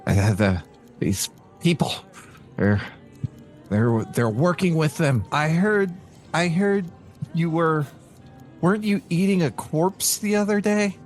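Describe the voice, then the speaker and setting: Man doing a jittery stammering guy, highish voice, really slow and halting, game roleplay. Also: animated, soft background music. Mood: nervous. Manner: halting, repetitive.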